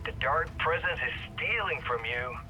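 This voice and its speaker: A man's voice: a little high, animated, moderate pace, pushed and bright. An actor playing a cryptic, insistent male ally on a telephone call in a video game, urgent and probing. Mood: grave warning. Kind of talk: dramatic game dialogue. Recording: telephone call with faint noise.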